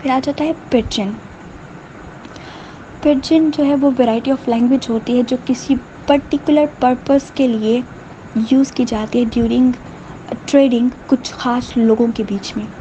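A woman teaching a linguistics lesson over slides, explaining in Hindustani and reading English definitions slowly. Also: high-pitched, steady tone, dark tone, lo-fi sound, a little hiss or hum.

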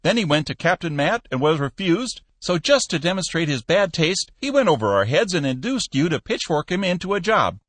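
Narrator guy doing a stiff cold office manager, loud and clipped, grumbly. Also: a little high, big pitch swings, a little fast, hardly any pauses, dry recording, voice pushed up. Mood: indignant.

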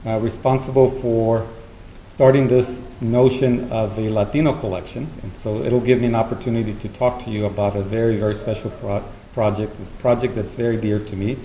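A middle-aged man giving formal welcome remarks and thanks at a podium, in a halting, measured way. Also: fairly low pitch, warm tone. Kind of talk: formal public speech with thanks and introductions. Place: large meeting hall with seated audience, indoors. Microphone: podium gooseneck microphone. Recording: roomy auditorium sound, faint noise.

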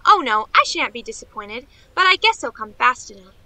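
A woman's voice: on the high side, very animated, brisk, sharp tone, no echo, exaggerated voice, poor audio. A woman playing a naive, eager young niece in a radio play, chattering on in rambling run-on sentences. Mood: earnest, excited.

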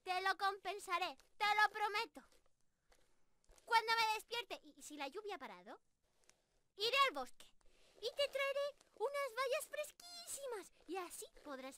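A woman's voice: very high-pitched, big pitch swings, moderate pace, pushed and bright, a little hiss or hum, full character voice. A woman voicing a cute, cheeky young squirrel girl in an ASMR roleplay, playful and pleading as she talks straight to the listener. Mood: grateful, eager. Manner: playful, genki, pleading and apologetic.

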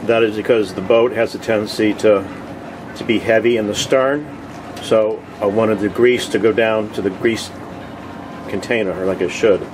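A man's voice: on the low side, fairly even intonation, normal speed. Plainspoken man over noisy outdoor background. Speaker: host of a cooking vlog. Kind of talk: casual video tour narration. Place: open deck of a boat on the water. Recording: outdoor ambient noise.

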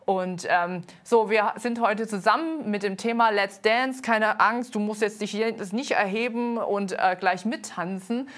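A woman's voice: mid-range voice, fairly bright tone. Earnest woman hosting a studio show. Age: 30s. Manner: earnest, halting with many fillers. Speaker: host and dance team leader. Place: TV studio set. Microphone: lapel mic.

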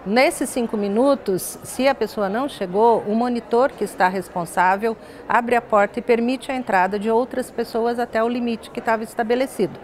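An older Brazilian woman with a somewhat high-pitched voice. She speaks as a professor in an interview, explaining step by step with small tag words along the way. She is recorded on a lapel mic indoors. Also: normal speed, few pauses, slight background noise. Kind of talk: studio interview, explanatory talk. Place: glass-walled indoor lounge set.

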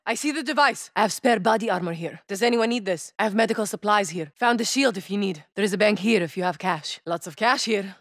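A woman's voice: mid-range voice, fast talker, few pauses, fairly bright tone, dry recording, studio quality. A terse female game soldier. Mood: calm. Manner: clipped, terse callouts. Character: tough young female soldier, terse and steady. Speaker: soldier operator in a shooter game. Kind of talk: game voice lines.

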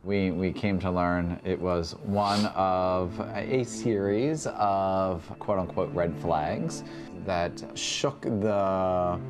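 A man telling a personal story in a documentary interview. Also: sits low. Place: dim room indoors.

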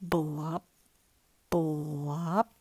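Woman voicing a mopey, slow-talking gloomy fish. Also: sits low, flat delivery, a little mellow, husky, no room sound, studio quality, voice pushed down, exaggerated voice. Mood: glum, resigned. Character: glum, gloomy male pout fish, mopey and resigned. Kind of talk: children's storybook read-aloud.

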